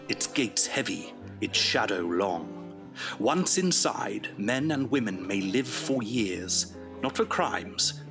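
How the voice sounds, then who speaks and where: A man narrating an audio drama in a solemn, measured storytelling style. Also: lowish voice.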